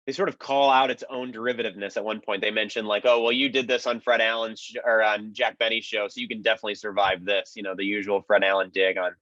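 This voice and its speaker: A man's voice: on the low side, flat delivery, fast talker, hardly any pauses, a bit bright, dry recording. A man on a panel explaining things casually and analytically, qualifying his points as he goes, on a lo-fi microphone. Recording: dull, dry, lo-fi microphone sound.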